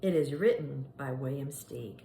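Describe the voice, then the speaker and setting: A woman reading a children's picture book aloud in an expressive, dramatic storytelling manner meant for young children. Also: rumbling low, normal speed, creaky. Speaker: storybook reader. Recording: echoey room with faint background noise.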